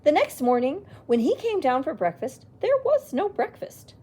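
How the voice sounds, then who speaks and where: A woman reading a picture book aloud to children, expressive, with little exclaimed asides. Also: high voice, normal speed. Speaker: children's library storyteller.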